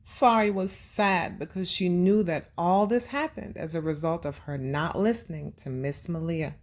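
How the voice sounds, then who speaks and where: A woman's voice: lowish voice, normal speed. A woman hosting a children's read-aloud and lesson, speaking expressively and addressing young listeners directly.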